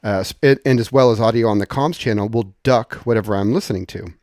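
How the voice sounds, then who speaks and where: A man presenting an informal software tutorial in a conversational, explanatory way, thinking aloud as he goes. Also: fairly low pitch, quick pace, no echo, studio quality.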